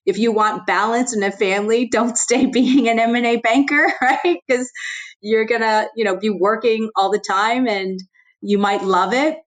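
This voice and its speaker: A woman's voice: a little high, moderate pace. A middle-aged American woman whose first language was Chinese, speaking candidly as a podcast guest, with many "you know" fillers. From United States. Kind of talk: conversational interview.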